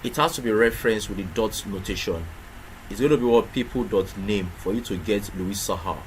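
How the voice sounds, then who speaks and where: A man's voice: lowish voice, a little fast, poor audio. A man teaching programming in a screen-recorded tutorial, explaining step by step with frequent restarts and rhetorical questions. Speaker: programming instructor. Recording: clear background noise with keyboard typing.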